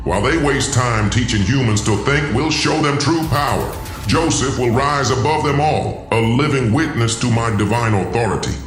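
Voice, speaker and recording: A man's voice: medium pitch, normal speed, reverberant, faint music behind, pitched above the performer's own voice, close to an everyday voice. A man voicing a scheming alien overlord who poses as a god, commanding and contemptuous, in a science fiction audio drama. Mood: contemptuous, zealous.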